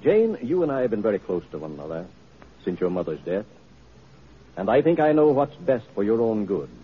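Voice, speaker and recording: A man's voice: on the low side, very animated, brisk, slightly rough. An actor playing a middle-aged, doting but firm patriarchal father in an old-time radio drama. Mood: gently firm. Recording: muffled, noisy old radio recording.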